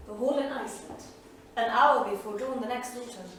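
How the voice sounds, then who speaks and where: A woman's voice: a little high, brisk, lightly acted. A woman playing a formal stage narrator, reading out verse and scene directions like a storyteller. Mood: scene-setting. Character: female stage narrator announcing dates and scenes. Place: small studio theatre, staged reading. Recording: echoey theatre, distant mic, faint noise.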